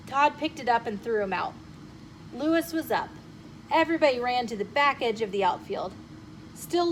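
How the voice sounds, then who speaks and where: A woman reading a children's story aloud in an animated, expressive way, addressing young listeners directly. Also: a little high, rough recording. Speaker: storyteller host. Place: bookshop, with ambient noise. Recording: clear background noise.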